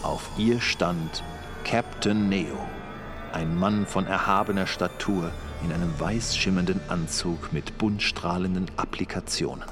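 A man's voice: low-pitched, measured pace, music in the background. A man narrating a space adventure radio play in a dramatic scripted style.

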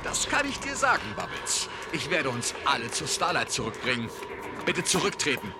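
A man's voice: on the high side, huge pitch swings, moderate pace, heavy background noise. A man playing a polite, formal robot crewmate in a German audio drama. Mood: courteous, formal. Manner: formal, apologetic, courteous. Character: polite robot crewmate who turns into a vehicle. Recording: loud music under the voice.

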